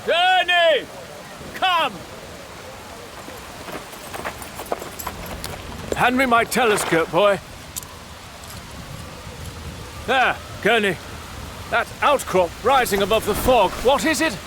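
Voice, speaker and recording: Haughty lord, animated delivery. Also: male voice, very high voice, unhurried, background noise, heavily acted. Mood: commanding. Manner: commanding, haughty, formal. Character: proud, headstrong English lord leading a stag hunt. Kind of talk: theatrical period audio drama acting.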